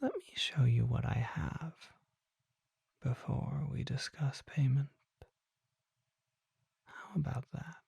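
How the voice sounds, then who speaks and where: Casting: mysterious vampire merchant played by an ASMR performer, suave and unhurried, hushed dark whisper with gravelly creak. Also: mid-range voice, expressive, dry recording, full character voice. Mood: coy, inviting. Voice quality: breathy, whispery. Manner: measured, formal, coy rhetorical questions. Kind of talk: ASMR roleplay, soft whispering.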